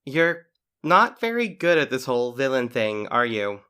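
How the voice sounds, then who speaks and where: A woman's voice: extremely low pitch, big pitch swings, moderate pace, fairly bright tone, clear, resonant tone, heavily acted. A woman playing a smug, accusing young superhero in an audio drama, sarcastic and sure of herself. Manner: sarcastic, confident.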